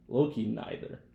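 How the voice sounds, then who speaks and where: A young American man chatting casually as a podcast co-host, with loose, jokey, slangy talk. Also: phone-quality sound. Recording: roomy echo.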